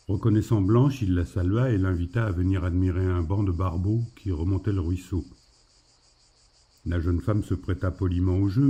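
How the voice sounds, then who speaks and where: A man's voice: sits low, warm tone, slightly rough, muffled recording. A man narrating a literary story from a script. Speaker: narrator of a fiction.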